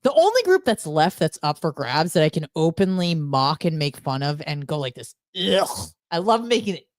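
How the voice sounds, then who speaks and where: Low-voiced American woman venting candidly, close and dry. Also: big pitch swings, normal speed, dry recording. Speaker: radio show co-host. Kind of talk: casual opinionated podcast chat, venting.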